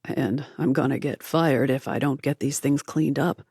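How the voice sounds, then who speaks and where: A woman's voice: extremely low pitch, fairly even intonation, moderate pace, darkish tone, breathy edge, dry recording, voice pushed down, heavily acted. A woman voicing a guarded young American man in an audiobook, speaking in a dry, clipped way that deflects small talk. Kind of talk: audiobook character voice.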